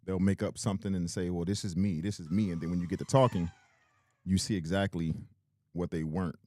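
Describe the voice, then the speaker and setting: A man with a very deep voice co-hosting a podcast, laid-back and teasing in casual chat. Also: fairly even intonation, a little fast, warm tone. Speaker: podcast co-host.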